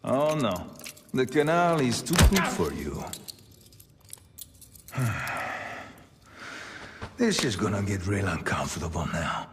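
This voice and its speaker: An actor playing a menacing, taunting mob thug in a video game cutscene. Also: male voice, fairly low pitch, sing-song, takes it slowly, pauses often. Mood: gloating threat. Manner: taunting, threatening. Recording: echoing hall, loud noise behind.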